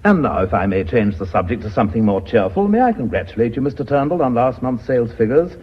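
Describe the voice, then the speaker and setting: A man's voice: mid-range voice, sing-song, fast, slightly rough. An actor playing a grave, courteous British company chairman in a radio drama, formal and dignified. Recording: muffled old radio recording.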